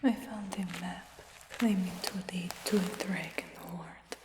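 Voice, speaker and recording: Brief: woman as curious dragon hunter, ASMR roleplay, slow hushed whisper, echoey room. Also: on the low side, steady tone, noisy background, natural voice. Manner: soft unvoiced whisper. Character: curious human dragon hunter who captured a dragon. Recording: roomy whisper with long reverb.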